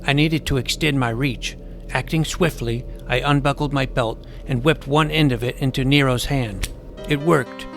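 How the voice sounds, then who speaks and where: A man playing an earnest, nerdy schoolboy superhero who narrates his own adventure in a deadpan first-person style. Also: mid-range voice, normal speed, natural voice. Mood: earnest narrating. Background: music.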